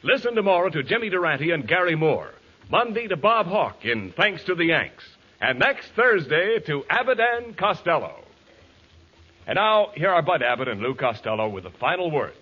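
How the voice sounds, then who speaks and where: A man's voice: a little high, very animated, normal speed, slightly rough. A man announcing for a 1940s radio show, reading scripted sponsor copy. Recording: dull 1940s radio recording.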